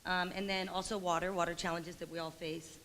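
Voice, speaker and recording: Fast-talking woman over a faint hiss. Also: on the low side, level tone, a bit bright. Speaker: industry recruiter, panelist. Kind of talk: panel answer.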